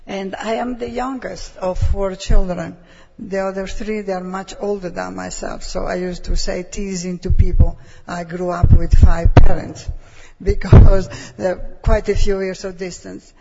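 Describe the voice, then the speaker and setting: Italian-accented woman, gravelly, husky testimony; long reverb, muffled recording. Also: mid-range voice, fairly even intonation, measured pace, warm tone. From Italy. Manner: hesitant, searching for words. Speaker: preacher's wife giving testimony. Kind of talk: personal testimony. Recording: dull sound with long hall reverb.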